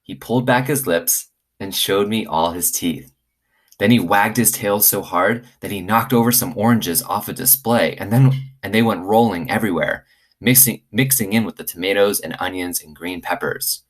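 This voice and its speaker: Low-voiced man reading a story aloud. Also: moderate pace. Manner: expressive, acts out dialogue. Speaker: teacher reading aloud to students. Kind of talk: read-aloud storytelling for children.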